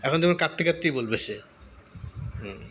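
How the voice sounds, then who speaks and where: A man giving a casual step-by-step software tutorial, often repeating himself and checking in with listeners. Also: on the high side, expressive, a little fast. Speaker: tutorial host. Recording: noticeable background noise.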